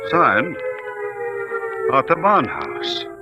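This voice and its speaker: An actor playing a brusque army general who barks orders, in a mid-century radio drama style. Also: male voice, mid-range voice, huge pitch swings, extremely slow, highs cut off, over loud music, exaggerated voice. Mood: grave, reading. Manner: barking orders, urgent. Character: brusque, commanding army general in charge of the search.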